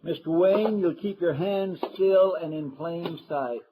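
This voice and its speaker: An American actor playing a loyal, deferential marshal's sidekick in a radio western, with a folksy drawl. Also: male voice, fairly high pitch, takes it slowly, mellow. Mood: watchful. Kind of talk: old-time radio western acting. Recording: dull, very noisy old radio recording.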